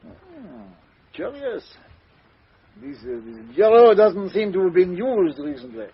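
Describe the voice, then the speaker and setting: An actor playing a self-assured, fussy, courteous male detective with a French accent and precise, halting delivery in a radio mystery drama. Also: sits high, sing-song, vocal fry, heavily acted. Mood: curious, probing. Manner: precise, smug, hesitant pauses and repetitions. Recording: dull lo-fi radio drama recording.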